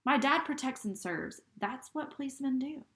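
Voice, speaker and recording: A woman's voice: high voice, lively intonation, normal speed, fairly bright tone, roomy sound, pitched above the performer's own voice. A woman voicing a nervous young girl, a policeman's daughter, earnest and defensive.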